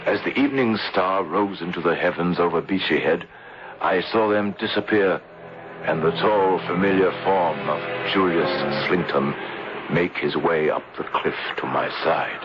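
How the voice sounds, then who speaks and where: An actor playing an earnest, just English gentleman in a radio mystery, speaking in a measured, formal way. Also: low voice, music in the background. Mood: grave narrating. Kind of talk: 1970s radio mystery acting with first-person narration. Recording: dull, noisy old radio broadcast.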